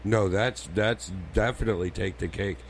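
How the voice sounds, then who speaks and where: A man's voice: on the low side, fairly even intonation, normal speed, slight background noise. A man in his forties as a podcast co-host, swearing often and sounding exasperated in casual banter. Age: 40s.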